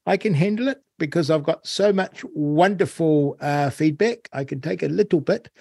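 A man's voice: on the high side, normal speed, creaky, darkish tone, breathy edge, no echo, studio quality. A New man hosting an interview, talking casually and wryly, stretching words for emphasis. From New Zealand. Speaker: host.

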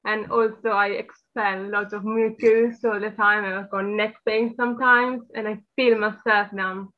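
A woman's voice: mid-range voice, level tone, measured pace. Woman on a tinny phone line. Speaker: caller.

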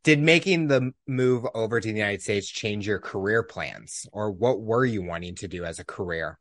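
A man's voice: fairly low pitch, moderate pace, fairly bright tone, no echo. Man hosting a podcast, dry close sound. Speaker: podcast host. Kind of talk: podcast interviewing.

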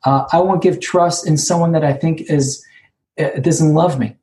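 A man with a Hebrew accent, Hebrew being his first language, sharing about recovery and sponsorship at an online conference, speaking haltingly and repeating words as he searches for them. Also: on the high side, fairly even intonation, warm tone, a little hiss or hum. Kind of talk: personal share at an online conference. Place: sitting alone, remote.

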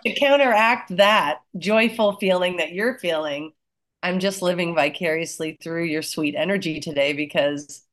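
Sick woman with a lowered voice. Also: moderate pace, fairly bright tone. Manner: laughs often, playful. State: sick with covid, voice lower than usual. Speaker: podcast co-host. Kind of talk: casual chat.

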